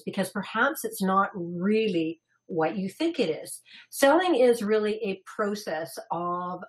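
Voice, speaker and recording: A woman's voice: medium pitch, rough recording. Chatty upbeat woman presenter. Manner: chatty and upbeat, many fillers and restarts, laughs easily. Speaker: salon business consultant, co-host. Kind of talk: casual webinar presentation.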